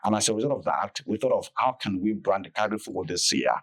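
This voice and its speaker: Low-voiced man, measured explainer. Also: animated, fairly bright tone. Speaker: podcast guest, festival director. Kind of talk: conversational interview.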